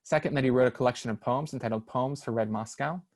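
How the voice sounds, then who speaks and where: A man's voice: mid-range voice, fast talker, a little mellow. A man presenting a prepared research paper at an online seminar, reading carefully with small hesitations over foreign names. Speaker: academic lecturer. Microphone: online seminar, computer mic.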